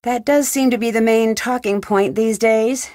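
A woman's voice: on the high side, fairly even intonation, normal speed, darkish tone, no room sound, studio quality, close to an everyday voice. A woman playing an inquisitive, lightly wry young female detective in video game dialogue. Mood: wry, curious. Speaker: video game lead character. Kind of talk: video game dialogue, separate questions and replies.

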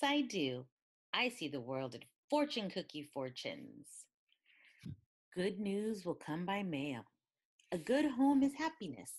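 A woman reading a picture book aloud in an expressive, deliberate way. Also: mid-range voice, husky. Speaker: storybook reader.

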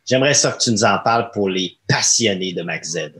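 A man's voice: fairly low pitch, expressive, a little fast, a bit bright. A man in his thirties with a Quebec French accent, hosting a casual podcast in a colloquial, enthusiastic way on a close microphone. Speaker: podcast host. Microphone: close studio microphone on arm, headphones.

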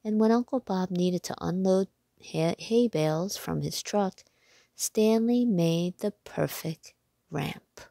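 A woman narrating a children's storybook aloud in a measured, clearly phrased way. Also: fairly low pitch, warm tone, no room sound, studio quality.

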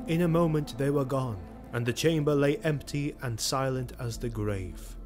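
An actor narrating a sword-and-sorcery audio drama as a solemn storyteller, measured and literary. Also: male voice, mid-range voice, music in the background, close to an everyday voice.

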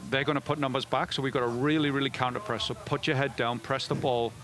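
Middle-aged male coach, post-game, rapid delivery, headset mic, mid-range voice. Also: medium pitch, flat delivery, fairly bright tone. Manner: fast, with frequent restarts and fillers. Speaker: head soccer coach. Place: indoor media room before a backdrop.

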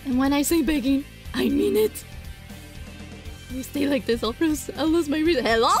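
A woman reading a visual novel aloud as a let's play, dramatic and playful, in a giggly, flustered, excitable manner. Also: high-pitched, moderate pace. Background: music.

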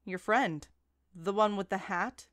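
A woman's voice: medium pitch, a little slow, a bit bright, close to an everyday voice. A woman voicing a stern, distrustful mother who scolds, in an audiobook drama. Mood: suspicious. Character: stern, distrustful, scornful mother of a teenage boy. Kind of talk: audiobook character acting.

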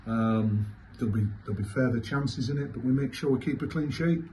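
A man, answering as a football manager after a match in halting, repetitive, emphatic phrases. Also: mid-range voice, darkish tone. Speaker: football manager giving a post-match interview. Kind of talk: post-match interview answers. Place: small indoor club press room. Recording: clearly noisy room ambience.

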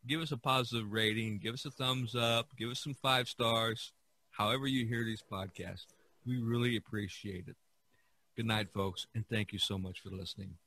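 A man with a fairly low voice hosts a casual podcast discussion. He rambles through his points and leans on them with emphasis. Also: steady tone, moderate pace, bright, forceful tone. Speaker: podcast host.

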